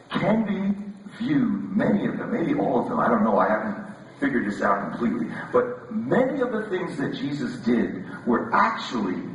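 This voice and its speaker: A man preaching a relaxed, conversational sermon, his voice scratchy. He jokes and often repeats words as he restarts. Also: mid-range voice. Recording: echoey hall, voice from far off.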